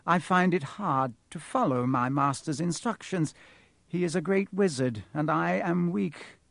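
A man's voice: on the high side, no room sound, voice pushed up, natural voice. Man playing a cold, smug villain professor. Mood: fervent, submissive. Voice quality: cold, sharp. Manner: smug, mocking, unhurried. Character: cold, gloating male villain professor, coolly menacing.

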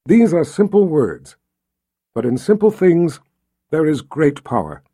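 Audiobook role, male reader: proud lordly officiant, ceremonial and emphatic, raised pitch with big swings, slightly rough tone. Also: big pitch swings, measured pace, warm tone, exaggerated voice. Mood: solemn, proud. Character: proud, solemn lord officiating a wedding. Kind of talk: audiobook character acting.

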